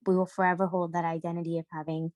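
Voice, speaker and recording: Young woman guest, low monotone, dry close sound, hesitant delivery with lots of fillers. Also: fast talker, no echo. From Sri Lanka. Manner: hesitant, many fillers and restarts. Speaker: podcast guest. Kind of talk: casual discussion.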